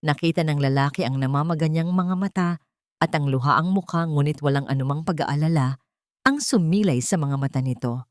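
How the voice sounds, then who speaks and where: Female storyteller for scripted dramatic audio fiction. Lower register, close dry sound. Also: fairly quick, no room sound.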